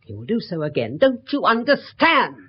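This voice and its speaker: A man acting a stern, grimly warning captor in a 1970s radio drama, husky, emphatic and reproachful. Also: high-pitched, huge pitch swings, moderate pace, heavily acted. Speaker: actor. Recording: dull 1970s radio recording.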